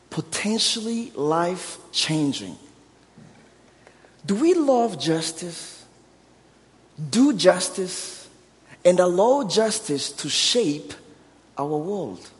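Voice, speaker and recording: A man's voice: high-pitched, sing-song, extremely slow, long pauses. A man in his thirties from Burundi with a Burundian accent, preaching a sermon with humor and building emphasis. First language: Kirundi. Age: 30s. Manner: warm storytelling with self-deprecating humor, rising emphasis. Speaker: guest preacher. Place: church sanctuary. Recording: long hall reverb.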